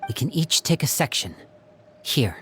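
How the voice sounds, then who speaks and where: A performer playing a calm, earnest youth in a video game, measured and telling things like a story. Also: medium pitch, a little hiss or hum. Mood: reflective.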